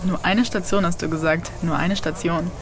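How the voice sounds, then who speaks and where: An actress playing a bitter, defiant young daughter who demands answers, in a German audio drama. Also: female voice, fairly low pitch, fairly even intonation, moderate pace, over music, close to an everyday voice. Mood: reminiscing.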